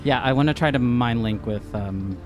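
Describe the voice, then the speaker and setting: Guy playing dnd, casual short tentative lines, music clearly going behind him. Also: medium pitch, moderate pace. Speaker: player in a tabletop game. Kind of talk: casual in-game chat. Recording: loud music bed under the voice. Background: background music.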